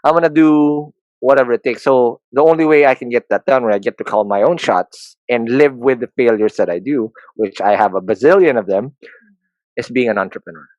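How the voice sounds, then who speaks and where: A man's voice: medium pitch, fairly quick, a little mellow, no room sound, highs cut off. A man in his thirties with a Filipino accent, a podcast guest talking candidly and joking about himself. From Philippines. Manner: self-deprecating, joking, frequent restarts. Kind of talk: candid conversational interview.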